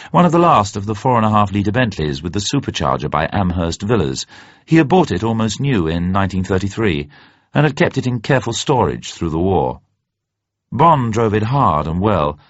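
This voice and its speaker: A man reading a novel aloud as dramatic narration, measured, literary and expressive. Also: deep voice. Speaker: narrator, audiobook reader. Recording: dull, roomy recording.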